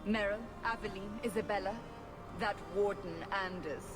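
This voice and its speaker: A woman's voice: medium pitch, moderate pace, natural voice. An actress playing a probing, commanding, skeptical female interrogator in a fantasy video game. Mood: probing, insistent. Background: game music.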